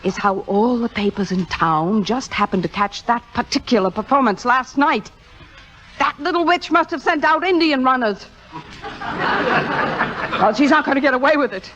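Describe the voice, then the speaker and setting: An actress playing a proud, sharp-tongued middle-aged stage star in a theatrical 1950s radio drama style. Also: female voice, a little high, normal speed, natural voice. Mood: indignant, bitter. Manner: sardonic, theatrical, cutting. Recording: dull, lo-fi old radio recording.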